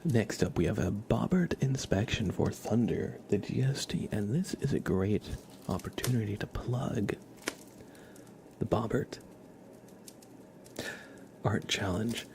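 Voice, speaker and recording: Soft-spoken, gravelly ASMR man. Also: fairly low pitch. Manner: soft-spoken, often drops to a whisper. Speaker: ASMR livestream host. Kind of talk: soft casual ASMR chat. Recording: clear background noise on livestream.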